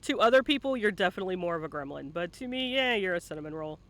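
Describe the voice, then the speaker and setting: A man with a high, bright voice hosts a live-streamed interview. He speaks informally into a close mic, teasing and swearing as he goes in a playful, bantering style. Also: lively intonation, fast. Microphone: close mic at a computer.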